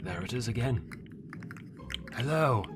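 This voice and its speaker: A man's voice: mid-range voice, lively intonation, measured pace, noisy background, close to an everyday voice. A man voicing a proud sorcerer bound in a crypt, commanding and bargaining. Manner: imperious, bargaining. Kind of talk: audio drama acting. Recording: music underneath. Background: background music.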